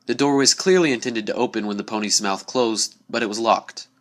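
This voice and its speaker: A man's voice: fairly low pitch, fairly quick. A man giving a dramatic scripted narration of a spooky story. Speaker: story reader.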